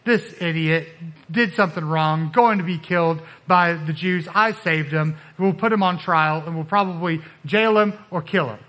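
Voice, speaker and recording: A man's voice: on the high side, moderate pace, sharp tone, pitched below the performer's own voice, poor audio. A man voicing a scornful Roman officer who mutters under his breath. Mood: muttering scornful.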